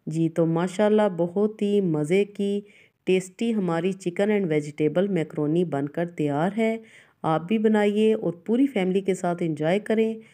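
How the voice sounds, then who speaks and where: A woman's voice: lowish voice, slow, mellow. A woman hosting a cooking show, narrating a recipe step by step and instructing viewers directly.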